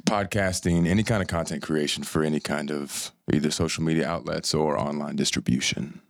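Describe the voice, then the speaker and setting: A man with a very deep, low voice chats as a podcast guest. He tells stories in a rambling, self-interrupting way, recorded dry and close in a studio. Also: fairly even intonation, fairly quick, creaky, no echo. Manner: rambling storyteller who restarts his sentences. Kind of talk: casual podcast chat.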